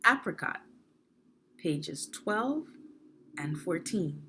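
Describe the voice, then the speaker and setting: Slow, expressive woman reading to children. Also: lowish voice, a little hiss or hum. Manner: deliberate, instructional, poses questions to young listeners. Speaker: read-aloud narrator of a children's educational book. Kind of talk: scripted read-along narration for young children.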